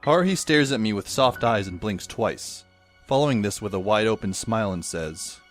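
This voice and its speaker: A man's voice: on the low side, lively intonation, normal speed, faint music behind, natural voice. A man playing a wry, skeptical teenage schoolboy who narrates his own story in an audio drama, with a sardonic manner.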